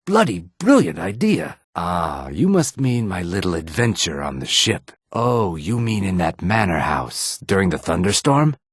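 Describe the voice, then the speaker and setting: An actor playing a calm, proud and courteous lizardfolk assassin in a video game, with a measured, menacing, theatrical delivery. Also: male voice, low-pitched, breathy edge, no echo, studio quality, heavily acted. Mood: amused, admiring. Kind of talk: video game character dialogue.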